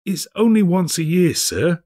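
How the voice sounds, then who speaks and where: A man's voice: high voice, animated, a little slow, warm tone, pitched above the performer's own voice. A man voicing a meek male clerk in a storybook, apologetic, hesitant and deferential to his master. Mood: nervous, pleading. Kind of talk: dramatic storybook character reading.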